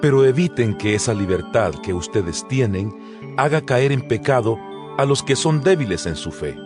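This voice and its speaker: Actor como apóstol serio, muy expresivo. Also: male voice, mid-range voice, lively intonation, natural voice. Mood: earnest, instructive. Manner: measured, emphatic, didactic. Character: earnest male apostle addressing his congregation. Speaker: narrator of an audio Bible. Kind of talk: dramatized scripture reading. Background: background music.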